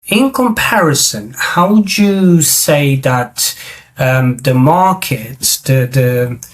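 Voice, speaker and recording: Hesitant host. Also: male voice, mid-range voice, lively intonation, slow pace. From Romania. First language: Romanian. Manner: hesitant, many fillers and restarts. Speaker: podcast host. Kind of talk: interview questions.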